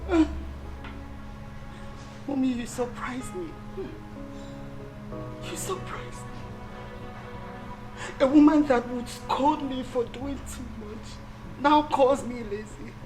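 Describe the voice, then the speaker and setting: An actress playing a wounded, submissive young Nigerian daughter-in-law in a melodramatic film, plaintive and pleading. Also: female voice, sits high, low-quality recording. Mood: hurt, bewildered. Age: young adult. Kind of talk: melodramatic film drama acting. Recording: music bed behind dialogue.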